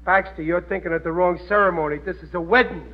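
A man's voice: high voice, steady tone, fast, close to an everyday voice. An American actor playing a middle-aged, dim-witted but good-natured working-class family man, wisecracking and blustering in a classic radio comedy. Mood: grumbling. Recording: noisy, muffled vintage radio recording.

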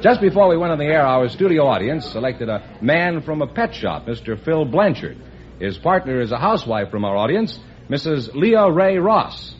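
A male announcer delivers brisk, formal introductions in a lively, somewhat high voice. The sound is dull and lo-fi, like an old broadcast. A faint hiss sits behind him. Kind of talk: scripted radio announcing. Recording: lo-fi vintage radio broadcast.

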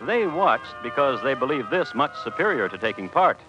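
An actor playing a droll, solemn crime connoisseur, narrating a radio show in a dry, deliberate manner. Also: male voice, mid-range voice, very animated, natural voice. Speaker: host and narrator. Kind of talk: 1950s radio scripted narration. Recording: dull vintage radio recording. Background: music.

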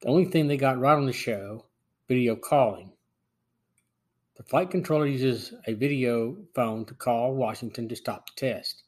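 A man with a mid-pitched voice hosts a review show. He reads prepared commentary as narration, summarizing and assessing as he goes. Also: normal speed. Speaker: podcast host and reviewer.

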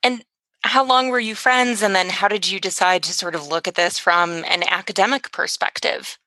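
A woman's voice: medium pitch, steady tone, normal speed, pushed and bright, no room sound. A woman hosting an interview, putting questions in a conversational style. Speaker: interviewer, host.

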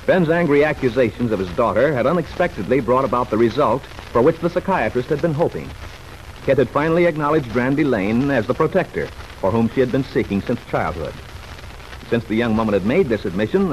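A man's voice: medium pitch, expressive, a little fast. A man narrating a radio serial from a script in a formal, dramatic storytelling manner, as its announcer. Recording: faintly noisy vintage radio recording.

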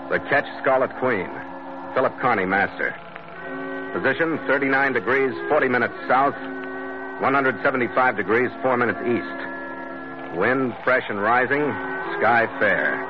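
An actor playing a wry American merchant ship captain in a clipped, dry 1940s radio drama style. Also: male voice, medium pitch, natural voice. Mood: crisp, formal. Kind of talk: 1940s radio acting, hard-boiled first-person narration. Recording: dull old radio recording, loud music.

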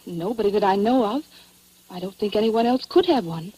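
A woman playing an earnest, troubled young widow, halting and sincere, in 1940s radio style. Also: medium pitch, expressive, dark tone, husky, no room sound, rough recording. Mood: anxious.

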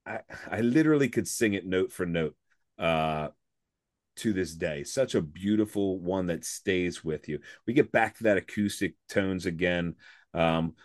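A low-pitched man in his forties, his voice a little raspy, talks with rambling enthusiasm as a podcast co-host. He circles back on himself with fillers and restarts. The sound is close and dry. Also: no echo. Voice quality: slightly raspy from singing. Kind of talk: casual music discussion.

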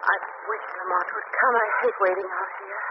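A woman's voice: a little high, sing-song, fairly quick, vocal fry. An actress playing a curious young woman in a 1940s radio drama, eagerly asking questions. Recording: lo-fi old radio broadcast with hiss.

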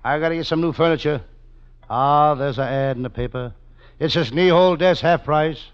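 An actor playing a world-weary, wisecracking private detective in an old-time radio comedy, delivering puns and narration deadpan. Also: male voice, a little high, moderate pace, clean tone, close to an everyday voice. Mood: deadpan, wry. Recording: muffled, very noisy old radio recording.

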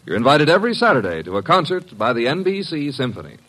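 A man's voice: mid-range voice, sing-song, fast, fairly bright tone. A man announcing in grand, emphatic, scripted mid-century radio style on an old broadcast recording. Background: music.

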